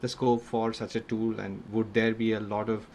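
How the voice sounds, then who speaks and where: A man's voice: lowish voice, little pitch movement, normal speed, a little mellow, slight background noise. A man hosting a podcast, asking interview questions in a hesitant way full of fillers and self-corrections. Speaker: podcast host.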